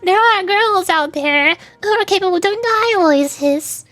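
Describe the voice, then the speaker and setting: Squeaky, very high-voiced girlish woman streamer. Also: expressive, a little slow, fairly bright tone, no room sound. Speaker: streamer and VTuber, voice actor. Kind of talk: casual livestream chat with viewers.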